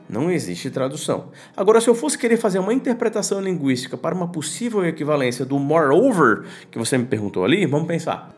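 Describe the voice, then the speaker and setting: Lively Brazilian man teaching. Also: on the high side. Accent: Brazilian Portuguese. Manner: asks and answers his own questions, slangy and direct. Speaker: English teacher for pilots. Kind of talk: casual explanatory lesson.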